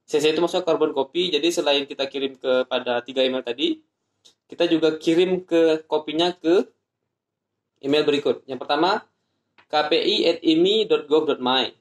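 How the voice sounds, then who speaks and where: Indonesian man explaining a procedure, slightly high. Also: echoey room. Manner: explains step by step, spells out addresses slowly. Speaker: vlogger. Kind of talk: informational vlog explaining a procedure.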